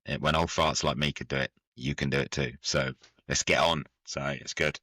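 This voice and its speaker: A man's voice: very deep voice, normal speed, no echo, studio quality. A man co-hosting a podcast, chatting casually and acting out what people said. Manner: animated, acts out quotes. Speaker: podcast co-host.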